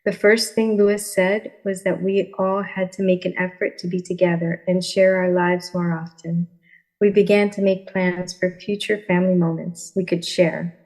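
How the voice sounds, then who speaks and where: A woman's voice: lowish voice, little pitch movement, normal speed, darkish tone, poor audio. A woman speaking as a podcast guest, sharing a personal experience. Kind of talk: personal testimony, storytelling.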